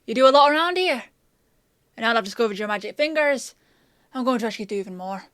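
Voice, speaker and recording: Woman doing a gruff but kind fantasy apothecary lady, highish voice, stammery and emotive, really dry close sound, audio roleplay. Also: lively intonation, quick pace, fairly bright tone, no room sound. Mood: earnest, insistent. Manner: hesitant, stammering, emotive, conversational. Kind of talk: intimate scripted audio roleplay monologue.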